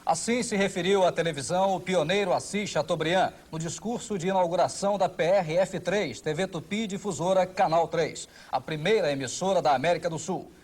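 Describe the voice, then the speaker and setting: A man's voice: sits high, fairly quick, few pauses, a little mellow. A man reading scripted narration as a documentary narrator.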